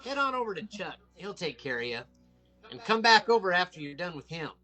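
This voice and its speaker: A man's voice: high voice, very animated, brisk, bright, forceful tone, soft background music, heavily acted. A man reading the part of a bossy, leering older men's-club member at a film table read. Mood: gruffly casual.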